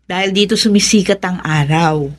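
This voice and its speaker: A woman's voice: lowish voice, moderate pace, natural voice. A woman playing a stubborn, superstitious and bossy younger woman in a Filipino radio drama, insistent and opinionated. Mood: bossy, firm. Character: stubborn superstitious adult daughter and girlfriend, bossy.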